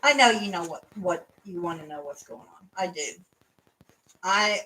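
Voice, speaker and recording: A woman's voice: lowish voice, animated, normal speed, bright, forceful tone, far from the mic, poor audio. A woman in her fifties chatting casually with family as a mother, rambling and laughing often. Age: 50s. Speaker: mother and co-host.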